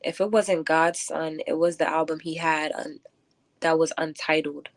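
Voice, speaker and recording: Low voiced woman poet on a radio interview, kinda halting n thoughtful, dry close sound. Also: flat delivery, no room sound. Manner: thoughtful, halting, many filler likes. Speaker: guest poet and lyricist. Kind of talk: reflective radio interview answers. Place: close-miked radio show.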